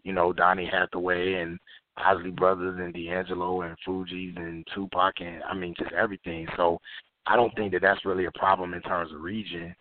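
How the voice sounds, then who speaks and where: A man's voice: low voice, dry recording. A man from Florida, an artist being interviewed, talking in a laid-back way with many fillers and restarts. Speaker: interview guest, recording artist. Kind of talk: casual interview talk. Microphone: phone call. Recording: tinny phone line.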